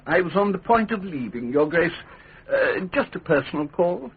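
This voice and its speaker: A smooth, scheming ambassador in a radio drama. His high voice is very husky and swoops with courtly flattery. The sound is thin and phone-like. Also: moderate pace, heavily acted. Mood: flustered politeness. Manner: formal, ingratiating, elaborately courteous.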